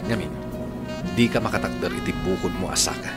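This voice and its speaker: Guy playing easygoing but firm dude, radio drama, loud music behind him. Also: low voice, brisk, lightly acted. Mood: firm, challenging. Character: friendly generous man, calm but firm challenger. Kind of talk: Ilocano radio drama acting.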